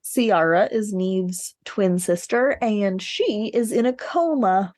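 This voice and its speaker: A woman's voice: medium pitch, lively intonation, slow pace, darkish tone, dry recording. A woman co-hosting a podcast, talking casually and bluntly about books with strong opinions. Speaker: podcast co-host. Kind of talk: casual book discussion.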